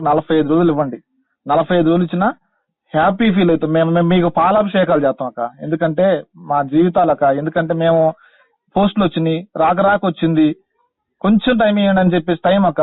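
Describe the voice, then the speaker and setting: A young man pleads over a narrow, dry phone line in a high voice. He speaks Telangana Telugu, respectful yet insistent, as a caller making an appeal. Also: dry recording. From Khammam district, Telangana, India. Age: young adult. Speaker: caller, teacher-exam candidate.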